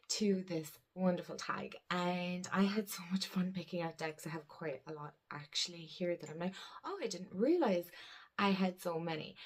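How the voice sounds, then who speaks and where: A woman chatting casually to camera about her tarot decks, croaky from a head cold, rambling with laughs and soft murmured asides. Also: lowish voice. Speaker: tarot deck vlogger. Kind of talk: casual vlog chat to camera. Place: indoors at a desk.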